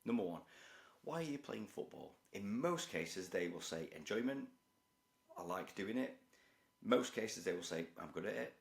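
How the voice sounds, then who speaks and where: A man with a croaky voice, explaining his points to camera in a measured, structured way. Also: low-pitched, lively intonation, echoey room. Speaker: youth football researcher and parent educator. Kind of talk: direct-to-camera talk.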